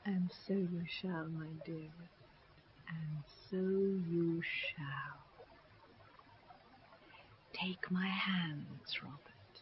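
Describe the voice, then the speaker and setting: Slow, bewitching seductress. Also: fairly low pitch, fairly even intonation. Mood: hushed, possessive. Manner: breathy, slow, drifting into whispers. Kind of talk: 1970s radio horror drama acting. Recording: muffled old radio recording, faint noise.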